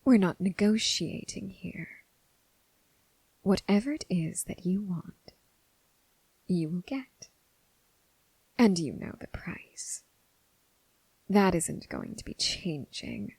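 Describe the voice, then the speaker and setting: A woman playing a seductive, menacing crossroads demon who toys with her prey, in a breathy, whispered ASMR roleplay with slow, teasing, formal phrasing. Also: lowish voice, animated, darkish tone, dry recording, heavily acted, poor audio. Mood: bored, stern.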